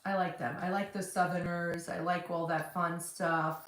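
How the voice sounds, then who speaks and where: A woman's voice: fairly low pitch, little pitch movement, moderate pace, fairly bright tone, echoey room, distant mic, slight background noise. A woman from New Jersey with a New Jersey accent, talking casually and bluntly as a podcast co-host. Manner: chatty, blunt, rambling. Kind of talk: casual chat.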